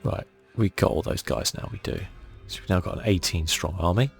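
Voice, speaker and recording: Male ASMR gamer: very low, creaky voice, hushed musing commentary, faint music underneath. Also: fairly quick. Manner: casual, musing, thinking aloud. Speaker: gaming channel host. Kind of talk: ASMR video game commentary.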